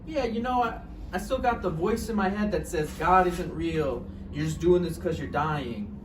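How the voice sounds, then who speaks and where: A man's voice: on the high side, moderate pace, lightly acted. A man playing a gloomy dying novelist, echoey room. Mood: wry, wistful. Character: dying old male failed novelist, gloomy and wry. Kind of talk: live table read of a stage play. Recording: echoey noisy room, far from mic.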